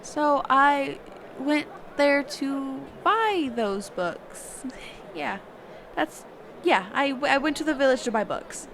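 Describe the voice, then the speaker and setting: Roleplay audio: actress as female mage mentor, sheltered and hesitant, unhurried, lightly high-pitched, conversational, over clear background ambience. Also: expressive, fairly bright tone, slight background noise, exaggerated voice. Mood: flustered, curious. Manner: halting, hesitant, trailing off and self-correcting. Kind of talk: one-sided roleplay audio drama, conversational.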